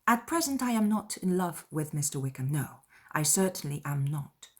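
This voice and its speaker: A woman's voice: deep voice, big pitch swings, moderate pace, breathy edge, full character voice. Woman playing a spirited, witty young lady, emphatic. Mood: earnest, emphatic. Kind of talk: dramatized audiobook character dialogue.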